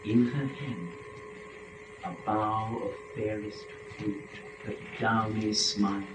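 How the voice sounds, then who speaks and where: A man narrating epic verse in a dramatic recitation, with solemn, deliberate, theatrical phrasing. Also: fairly low pitch, steady tone. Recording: echoey room, distant mic, faint noise.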